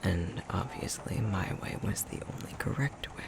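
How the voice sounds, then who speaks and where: A man playing an affectionate, self-deprecating young fox-shifter boyfriend in an intimate ASMR roleplay, speaking softly and hesitantly, often half-whispered. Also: low-pitched, normal speed, vocal fry, noisy background. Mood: bashful, reminiscing.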